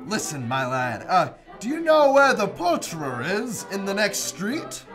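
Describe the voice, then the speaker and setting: An actor playing an elderly miser who has turned giddy and generous, in theatrical radio-drama style with frequent laughter. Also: male voice, high-pitched, huge pitch swings, a little slow, over music, full character voice. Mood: gleeful. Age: older.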